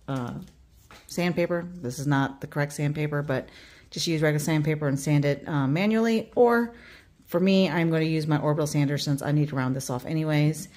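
A woman's voice: low voice, darkish tone. A woman hosting a casual hands-on woodworking tutorial, thinking aloud as she works in a workshop. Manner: thinking aloud while working. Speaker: woodworking host. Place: home workshop.

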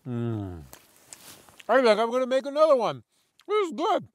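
A man's voice: extremely high pitch, huge pitch swings, dry recording. Candid cold man vlogging in a tent. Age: late 20s. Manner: thoughtful, candid, self-deprecating, halting restarts. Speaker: outdoor filmmaker. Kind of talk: casual reflective vlog narration. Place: inside a small tent on a snowy mountain summit.

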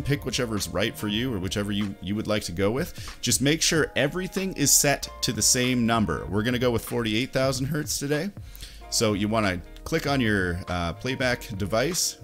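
A man in his thirties giving a casual, informal software tutorial, explaining step by step at a close desk microphone. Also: lowish voice. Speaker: tutorial host. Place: indoors at a computer desk.

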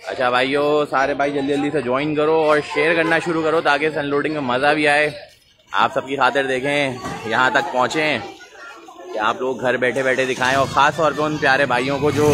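A man hosting a live stream outdoors, greeting viewers by name as he talks through the scene. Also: medium pitch. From Pakistan. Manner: chatty, greets viewers by name, repeats mashallah. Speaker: livestream host. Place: city street outdoors. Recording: busy street noise and crowd chatter.

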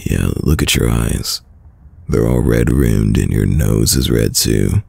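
A man playing a caring, worried boyfriend in an intimate ASMR roleplay, with a deep, gravelly, husky voice and a soft, soothing manner. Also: no room sound, heavily acted. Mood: reassuring, worried. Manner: soft, slow, soothing, hesitant. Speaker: audio roleplay voice actor.

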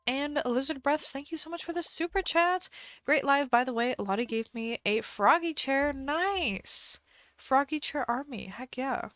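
A woman's voice: sits high, expressive, unhurried, fairly bright tone, dry recording. A woman live streaming a game in casual chat, chatty and giggly, her voice slightly stuffy from a cold. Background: faint background music.

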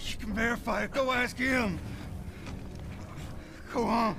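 A man's voice: very high-pitched, measured pace, gravelly, background noise, full character voice. An actor playing a frightened male captive under interrogation in a video game, strained and pleading. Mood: terrified, pleading. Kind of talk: cinematic video game acting.

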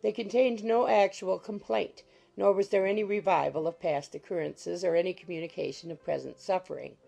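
A woman's voice: on the low side, fairly even intonation, a little fast, vocal fry, mellow, faint music behind, rough recording. A woman reading scripted literary narration for an audiobook.

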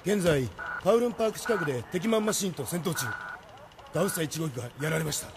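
A clipped, dutiful military operator, quick and slightly high in pitch. It is anime radio drama with music behind the voice. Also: male voice, lively intonation. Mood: tense reporting. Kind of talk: anime audio drama acting. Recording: voice under music.

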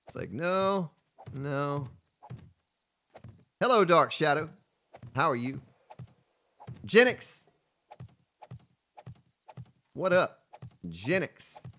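A man's voice: a little high, very animated, drawn-out words, creaky, long pauses, pushed and bright. A man from Florida chatting casually on a live game stream, answering viewers between gameplay remarks. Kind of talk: casual live-stream chat while playing.

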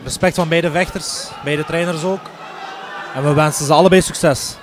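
Man commentating over clear noise. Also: fairly high pitch, fairly even intonation, moderate pace, crowd noise. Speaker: fight commentator. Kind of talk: live kickboxing commentary. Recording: noisy event hall ambience.

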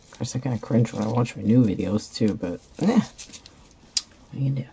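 A woman hosting a resin craft video, raspy after a concert, chatting in a rambling, self-deprecating way while she works. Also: a bass voice, normal speed, warm tone. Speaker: resin craft channel host. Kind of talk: casual chat while crafting. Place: craft table indoors.

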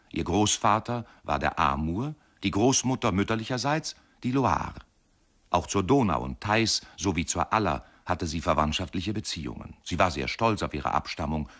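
A rueful, wry husband tells his story in a radio play. His voice is deep. The delivery is dry and digressive, like a literary monologue. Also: fairly bright tone, exaggerated voice.

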